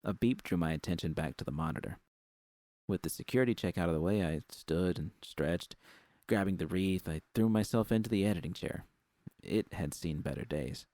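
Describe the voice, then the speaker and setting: Male audiobook narrator for first-person fiction: deep, fry-edged voice, dry studio-like sound. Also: a little mellow, no room sound.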